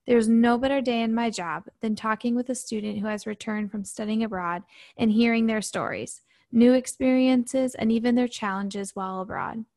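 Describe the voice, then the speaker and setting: A woman's voice: on the high side, fairly even intonation, normal speed, darkish tone. A woman from Michigan hosting a university podcast, reading a prepared intro and then interviewing a guest in an upbeat, earnest way. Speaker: podcast host.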